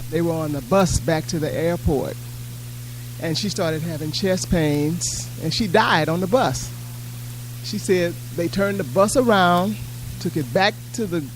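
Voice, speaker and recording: A man's voice: a little high, slight background noise, poor audio. A man telling a story informally to an audience in a plain, matter-of-fact way. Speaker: panel speaker sharing pilgrimage experiences.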